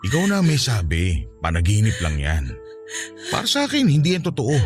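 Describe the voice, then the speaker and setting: A man's voice: fairly low pitch, wildly swooping pitch, brisk. A man playing a protective husband and father in a radio drama, soothing and insistent, with a Filipino accent. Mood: firm, calming. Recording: music bed behind voice.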